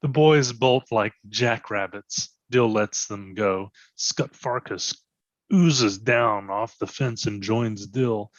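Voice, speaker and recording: A man narrates scripted stage directions in the present tense. His voice sits fairly low. The sound is dry and a bit muffled. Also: takes it slowly, no echo.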